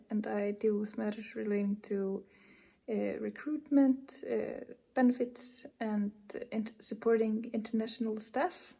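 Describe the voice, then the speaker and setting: Woman, raised pitch, mellow with vocal fry, soft and hesitant. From Iceland. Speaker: university HR staff. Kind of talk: self-introduction video.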